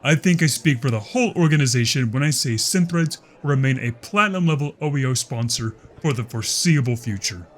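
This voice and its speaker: An actor playing a smug political speechmaker for an alien rights group in an audio drama. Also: male voice, mid-range voice, animated, a little fast, few pauses, lightly acted. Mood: effusive.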